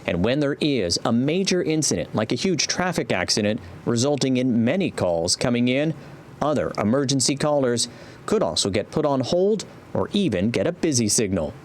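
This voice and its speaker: A man's voice: medium pitch, big pitch swings, moderate pace, a little hiss or hum. A man with an American accent reading scripted narration for a TV news report.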